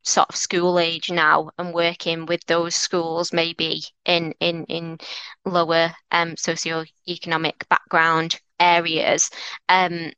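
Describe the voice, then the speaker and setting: A woman's voice: lowish voice, completely flat delivery, slow, pushed and bright, clear, resonant tone, dry recording. A woman with a strong Mancunian accent, answering interview questions in an earnest, encouraging way with frequent restarts. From North Manchester, England. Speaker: lawyer, interview guest.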